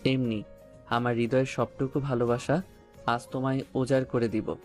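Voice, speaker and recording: A man's voice: mid-range voice, level tone, normal speed, darkish tone, soft background music, close to an everyday voice. A man playing a teasing, stubborn young lover who speaks amorously in a romantic audio story. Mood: amorous, insistent.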